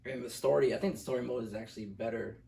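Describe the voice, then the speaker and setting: A man's voice: mid-range voice, very fast, creaky, dark tone. A young man in a casual, profane movie review chat, blunt, opinionated and joking. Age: young adult. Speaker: co-host of a movie review show. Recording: roomy echo, distant from the mic.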